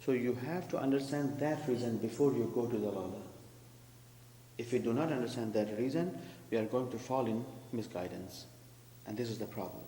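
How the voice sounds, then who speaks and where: A man's voice: medium pitch, fairly quick, dark tone. Male preacher, explanatory and questioning, in an echoey reverberant hall. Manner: explains terms, asks the congregation. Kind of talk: sermon commentary. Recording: echoey hall with faint hum.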